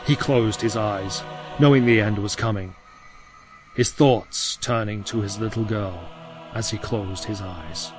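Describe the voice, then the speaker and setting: Male narrator: lower register, dramatic scripted action storytelling, heavy music bed with effects. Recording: loud music and battle effects behind.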